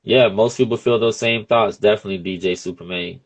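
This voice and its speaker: A man's voice: lowish voice, a little fast. A man in his early thirties talking casually as a podcast host, informal and often checking in with "you know" phrases. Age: early 30s. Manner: informal, peppered with "you know what I'm saying". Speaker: podcast host being interviewed. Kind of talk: casual conversational interview talk.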